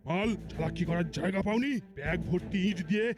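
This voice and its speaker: A man's voice: sits high, fast talker, natural voice. A man voicing an angry, suspicious cartoon dealer in stolen goods, indignant and repeating phrases.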